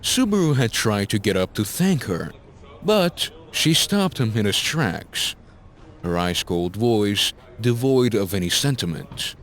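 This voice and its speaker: A man's voice: fairly low pitch, very animated, unhurried, fairly bright tone. A man narrating a scripted fantasy story as a dramatic audiobook-style narrator.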